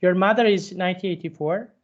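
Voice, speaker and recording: Man, halting teacher on a call. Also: high voice, muffled recording. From Serbia. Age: 30s. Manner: patient, halting, with frequent restarts. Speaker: English teacher. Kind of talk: one-on-one online English lesson.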